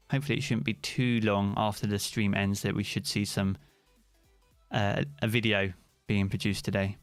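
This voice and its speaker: A man's voice: lowish voice, clear, resonant tone, no room sound. A British man streaming live, chatting casually and answering viewers' comments by name.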